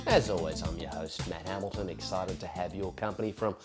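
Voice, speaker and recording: An Australian man hosting a podcast in a measured, emphatic presenter style, his voice slightly croaky from a cold. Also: low-pitched, steady tone, music in the background. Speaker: podcast host. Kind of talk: solo podcast talk with prepared storytelling.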